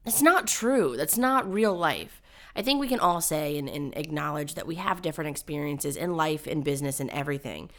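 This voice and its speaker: Low raspy-voiced woman podcast host. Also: lively intonation, moderate pace, fairly bright tone. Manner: high-strung, emphatic, self-deprecating. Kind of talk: solo podcast monologue.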